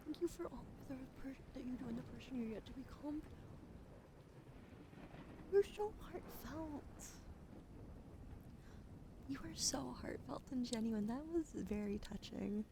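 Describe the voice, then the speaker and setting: Woman doing asmr whispers into a close mic, bit of a cough, clear hiss in the background. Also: sits high, expressive, unhurried, long pauses. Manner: soft whispering, chatty and playful. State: slight cough, recovering from illness. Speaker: livestreamer.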